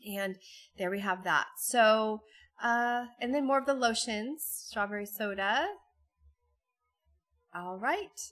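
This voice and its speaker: A woman's voice: fairly high pitch, takes it slowly, pauses often, fairly bright tone. A woman walking through a busy store with a handheld phone, chatting casually as a vlogger while she keeps losing her voice, with frequent ums and little laughs. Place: busy retail store, indoors. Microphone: handheld phone camera.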